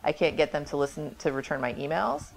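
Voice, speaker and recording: Female interview guest, forties, low gravelly register, explains in an informal conversational way. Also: expressive, quick pace. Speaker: record label president, interview guest. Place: small office indoors. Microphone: clip-on lapel mic.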